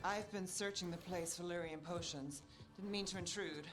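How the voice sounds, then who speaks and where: A woman's voice: on the low side, fairly even intonation, normal speed, close to an everyday voice. A woman playing a cool, practical, dryly understated mage companion in a fantasy video game. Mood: dry, composed.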